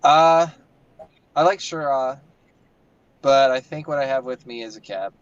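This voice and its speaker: A man's voice: medium pitch, measured pace, clear, resonant tone, dry recording. A man chatting casually in a wry, playful way from inside a car. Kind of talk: casual chat. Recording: dull, dry call audio.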